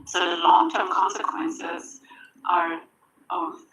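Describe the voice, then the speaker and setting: A woman speaking as a conference panelist, halting, with fillers and restarts. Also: on the low side, very animated, fairly bright tone, whispered, rough recording. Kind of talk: panel discussion. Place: conference stage.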